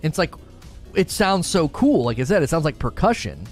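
A man's voice: on the high side, big pitch swings, normal speed, faint music behind. A man hosting a casual livestream talk show, chatting and answering viewers with rambling enthusiasm and frequent repeats. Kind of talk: casual livestream chat reading viewer comments.

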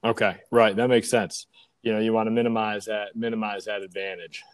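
A man's voice: fairly low pitch, little pitch movement, a little fast, no echo. A man hosting a podcast interview, conversational and enthusiastic, wordy and often doubling back on his phrases.

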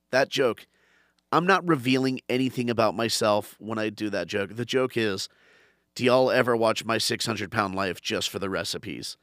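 Man, comedian host, casual podcast chat, animated storytelling, dry studio sound, mid pitch. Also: no echo. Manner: animated storytelling, acts out crowd voices.

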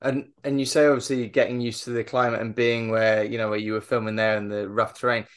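A man's voice: mid-range voice, flat delivery, quick pace, clear, resonant tone. A man hosting an interview, asking questions and listening with short murmurs of agreement. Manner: attentive, many short backchannels. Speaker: interview host.

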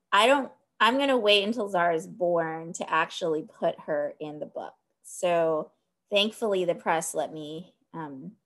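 A woman in her thirties from Texas, an author answering interview questions thoughtfully, with frequent ums. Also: on the low side. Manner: thoughtful, lots of ums, laughs easily. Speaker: author and academic guest.

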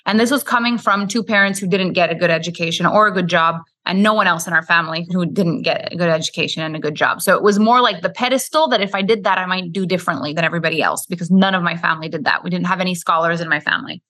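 A woman's voice: fairly low pitch, fast, hardly any pauses, pushed and bright. A woman from Quebec whose first language is French, a podcast guest telling her life story in a candid, flowing way. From Quebec, Canada. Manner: candid, flowing storytelling with frequent "like" and "you know". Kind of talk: storytelling interview.

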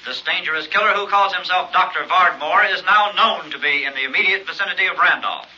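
An actor playing a formal, urgent radio bulletin announcer in a horror drama. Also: male voice, sits high, fast talker, sharp tone. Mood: grave, urgent. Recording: muffled, noisy old radio broadcast.

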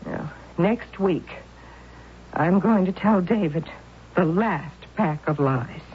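An anxious devoted aunt. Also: female voice, fairly low pitch, slow pace. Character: devoted worried middle-aged aunt. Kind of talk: 1970s radio drama acting. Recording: dull old radio broadcast with faint hiss.

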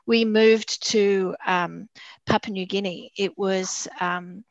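A woman from Australia in her forties with an Australian accent, a guest telling animated stories in a casual chat. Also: medium pitch, slow, no room sound. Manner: animated storyteller, laughs while telling. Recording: dull lo-fi sound.

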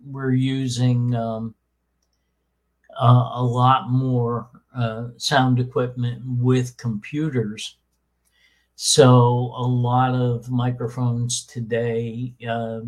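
Male host, baritone-tenor mix, slow and monotone, hesitant explainer. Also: rough recording. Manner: halting, many fillers and restarts. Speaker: podcast host. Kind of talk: casual explanatory talk. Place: small room with soundproofing under way.

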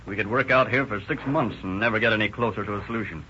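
A man's voice: on the low side, fast talker, bright, forceful tone, slight background noise. A man acting a plainspoken, skeptical deputy sheriff in an old radio drama, with the dull sound of an old radio recording. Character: doubtful male deputy sheriff.

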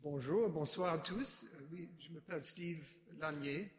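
A man from the United States with an American accent, speaking halting second-language French as a guest giving a testimony, restarting often and slipping in English words. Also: on the high side. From United States (Chicago). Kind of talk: unscripted personal testimony.

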